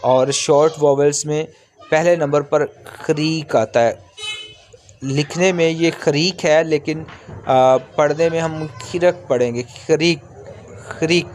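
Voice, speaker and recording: A man's voice: fairly high pitch, fairly even intonation, darkish tone, slight background noise. A man giving an instructional language lesson, explaining step by step, repeating himself and sounding out vowels at length. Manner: repetitive, step-by-step explaining with drawn-out sample sounds. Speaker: language teacher.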